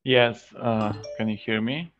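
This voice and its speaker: A man from Ukraine, a teacher, introducing himself on a video call in a halting, apologetic way. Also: fairly low pitch, steady tone, fairly bright tone, faint music behind. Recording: dry, dull call audio.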